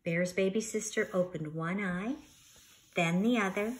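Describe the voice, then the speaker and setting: A woman reading a children's story aloud like a teacher, expressively, pausing to comment and instruct. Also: mid-range voice.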